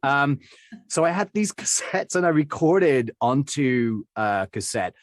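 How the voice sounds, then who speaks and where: A middle-aged Englishman chats through his story as an interview guest. His voice sits at an everyday middle pitch and is recorded close and dry, with no room around it. He rambles good-naturedly, full of fillers and quick chuckles. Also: sing-song, no echo. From England. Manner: chatty and rambling, with frequent fillers and small laughs. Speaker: accent and dialect coach, guest.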